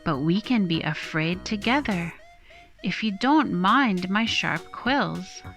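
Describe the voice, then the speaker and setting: A woman's voice: mid-range voice, animated, takes it slowly, a bit bright, soft background music. A woman voicing a nervous, friendly young male hedgehog in a children's storybook, polite and wistful. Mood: shy, hopeful.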